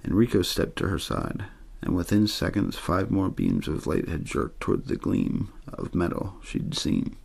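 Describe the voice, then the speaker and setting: A man reading a scripted story in ASMR style, with a plain, soothing, untheatrical delivery and a husky, gravelly voice. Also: low voice, a little mellow. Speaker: audiobook-style story reader.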